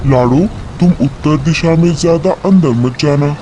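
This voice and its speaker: A man's voice: fairly high pitch, normal speed, noisy background, lightly acted. A man voicing a caring, cautious male crow in a children's cartoon, protective like a big brother. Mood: reassuring. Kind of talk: Hindi children's cartoon dialogue.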